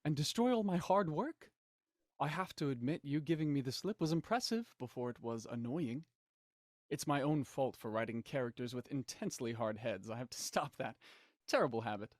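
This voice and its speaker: Man acting a menacing male villain, smug, condescending, highly animated intonation, close dry sound, audio drama. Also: moderate pace, dry recording, natural voice. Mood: smug, taunting. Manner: mocking, theatrical, condescending. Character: smug, menacing male villain, cold culprit and puppet-master writer.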